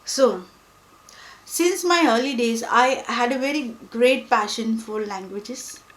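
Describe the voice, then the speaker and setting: Young female vlogger, Hindi native, measured unhurried delivery, thoughtful and hesitant, roomy echo. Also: on the high side, a bit bright. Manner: thoughtful, pausing often with fillers. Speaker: book vlogger. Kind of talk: reflective personal talk to camera. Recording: echoey room with faint ambience.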